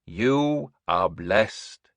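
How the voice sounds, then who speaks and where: A man voicing a sinister old woman who rules from a throne, slow, hissing and imperious. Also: lowish voice, sing-song, fairly bright tone, no room sound, exaggerated voice. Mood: commanding.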